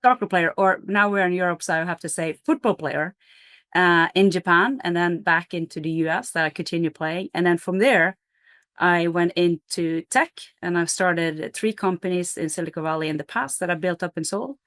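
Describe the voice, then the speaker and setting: A woman's voice: fairly low pitch, moderate pace, a bit bright, no echo, studio quality. A middle-aged woman from Norway, a company CEO, explaining her business in an interview with energy, fillers and restarts.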